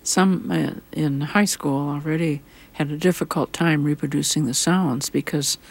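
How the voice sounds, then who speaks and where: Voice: mid-range voice, steady tone, moderate pace, creaky, husky. A host asking curious questions in a casual interview.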